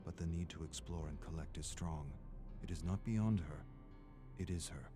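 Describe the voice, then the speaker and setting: A man narrating a scripted story in a measured, dramatic storytelling manner. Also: extremely low pitch. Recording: music under the voice. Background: background music.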